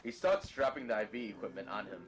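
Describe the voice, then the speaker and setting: A man's voice: on the low side, lively intonation, quick pace, a little hiss or hum. A man narrating a scripted story in the present tense, describing the action as narrator.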